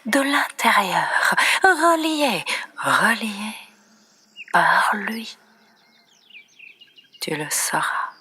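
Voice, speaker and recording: A woman reciting poetry in a breathy, often near-whispered voice, with solemn, dramatic and deliberate phrasing. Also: medium pitch, animated, sharp tone. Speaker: poet reciting own poems. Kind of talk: slow expressive poetry recitation.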